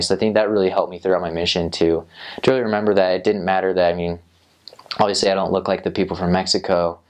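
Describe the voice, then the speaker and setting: A young man on a lapel mic in a small studio, answering interview questions about his time as a missionary, rambling with many fillers and restarts. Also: sits low. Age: young adult. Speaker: returned missionary. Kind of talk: interview answers, casual recollection. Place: small indoor studio set.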